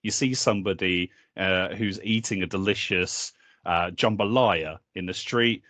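A man's voice: low voice, steady tone, measured pace, a bit bright, no room sound. A man co-hosting a podcast in casual comic banter, joking and swearing as he rambles along. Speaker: podcast co-host.

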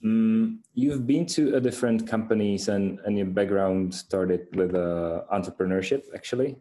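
A man hosting an interview, relaxed, with brief backchannel replies. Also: fairly low pitch, normal speed. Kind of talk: casual interview talk.

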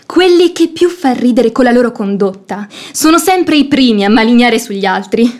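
An actress playing a sharp-tongued, outspoken young maidservant in a theatrical radio play, with a sardonic, pointed delivery. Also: female voice, fairly high pitch, lively intonation, moderate pace, lightly acted. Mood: mocking, sardonic.

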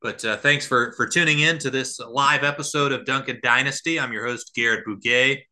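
A man calling live basketball play-by-play as a podcast host, somewhat halting between plays. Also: medium pitch, steady tone, pushed and bright.

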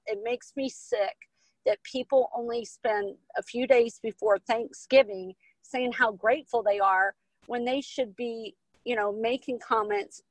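A woman's voice: a little high, dry recording, low-quality recording. A woman from Texas and Hawaii with an American accent, answering interview questions as a podcast guest in an earnest, emphatic, conversational way. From Texas and Hawaii, United States. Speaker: podcast guest, business coach and author.

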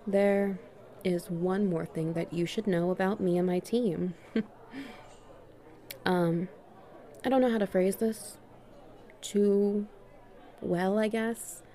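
A woman playing a confident, strict and subtly dominant female boss in a flirty audio roleplay, speaking in a measured, teasing way with deliberate pauses. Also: medium pitch, fairly even intonation, mellow, close to an everyday voice. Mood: hesitant, coy. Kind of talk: flirty one-sided roleplay dialogue.

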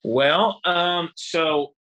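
A country singer-songwriter in his thirties, born in Texas and raised in the Dominican Republic, talking in a relaxed interview with frequent pauses and "you know.". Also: fairly high pitch, a little hiss or hum, low-quality recording.

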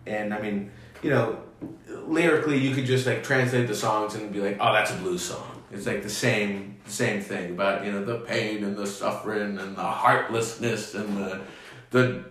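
A man's voice: on the low side, fairly even intonation, moderate pace, fairly bright tone, far from the mic. A man talking casually about his musical roots, rambling with lots of fillers and restarts. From United States. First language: Greek (bilingual with English). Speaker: musician guest. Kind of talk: casual conversation. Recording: echoey room, voice off-mic.

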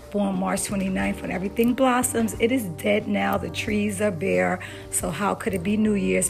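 A woman's voice: mid-range voice, vocal fry. A woman hosting a casual interview show, talking in an upbeat, emphatic way as she hypes up her guest. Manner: upbeat, emphatic, hype-building. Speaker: podcast host and hairstylist.

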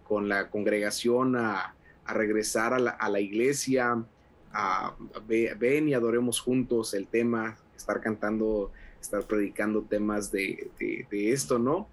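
A man's voice: on the low side, steady tone, unhurried. A young adult man from Mexico, an associate pastor speaking as a radio interview guest, fervent and full of fillers and restarts.

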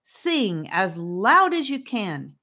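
A woman's voice: medium pitch, sing-song, takes it slowly, pushed and bright, pitched above the performer's own voice, heavily acted. A woman reading a storybook, voicing a serene, earnest girl who encourages her friends. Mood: earnest, stirring.

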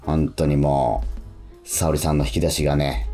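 A man hosting a casual radio show, with a halting delivery full of drawn-out fillers. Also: very deep voice, fairly even intonation, dark tone, soft background music.

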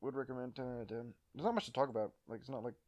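A man's voice: medium pitch, racing, muffled recording. A young man from Canada co-hosting a casual podcast, ranting in jokes and laughing often. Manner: animated, laughs often, jokey rants. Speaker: podcast co-host. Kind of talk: casual chat.

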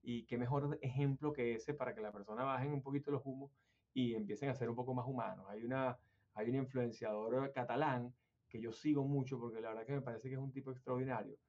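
A man's voice: medium pitch, fairly even intonation, fairly quick, a little mellow. A man from Venezuela hosting an interview, talking at length in a rambling, hesitant way with many fillers and restarts. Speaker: interview host. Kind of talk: conversational interview. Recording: dull lo-fi sound.

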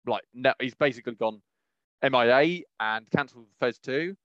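A man's voice: medium pitch, moderate pace, a bit bright, dry recording, highs cut off. A man hosting a podcast, chatting casually and opinionatedly in a rambling, emphatic way, often repeating phrases. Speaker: podcast host. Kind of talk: casual opinionated chat about films.

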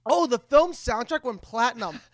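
A man's voice: very high-pitched, animated, moderate pace, dry recording. A man co-hosting a podcast with casual comedic banter, jokingly exasperated and given to swearing. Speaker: podcast co-host.

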